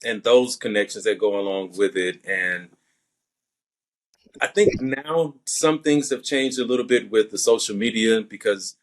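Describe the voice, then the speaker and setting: A man's voice: lowish voice, measured pace. Man, light Southern accent, young adult, methodical, conversational, interview guest. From Alabama. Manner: thoughtful, methodical explanations. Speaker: actor and podcast guest.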